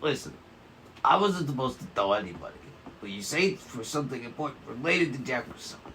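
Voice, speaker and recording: A man's voice: medium pitch, big pitch swings, brisk, a bit bright, a little hiss or hum, poor audio. A man playing a timid ex-security guard, speaking in plain colloquial terms in a cartoon script table read. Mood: guarded, confiding. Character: timid, husky male ex-security guard, cooperative informant. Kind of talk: animated series table read.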